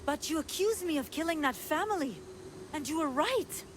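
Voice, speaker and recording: A woman voicing an anguished, earnest young woman with a dark hidden side, in a video game. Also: high-pitched, animated, normal speed, noisy background. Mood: guilt-ridden. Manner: earnest, emotional. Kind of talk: video game cutscene acting.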